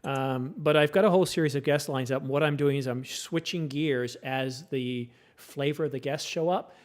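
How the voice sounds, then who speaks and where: A man hosting a live stream panel in a relaxed, chatty way, handing the floor to guests and reading out viewer questions. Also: medium pitch, normal speed.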